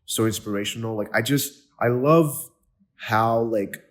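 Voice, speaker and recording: A man's voice: mid-range voice, unhurried. A man from Nigeria hosting a podcast interview, talking with enthusiasm and lots of fillers and restarts. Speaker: podcast host. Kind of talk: casual interview chat.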